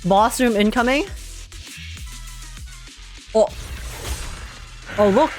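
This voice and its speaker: Excitable, higher-voiced woman game streamer. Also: very slow, faint music behind. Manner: excitable, slangy. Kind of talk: casual live reaction commentary.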